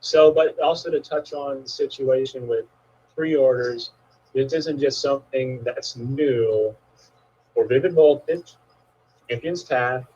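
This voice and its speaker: A man with a husky, slightly rough voice hosts a casual conversation, laid-back and agreeable, leaning on fillers. The audio is dull and lo-fi, like a call recording. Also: medium pitch, slow pace, darkish tone. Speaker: host.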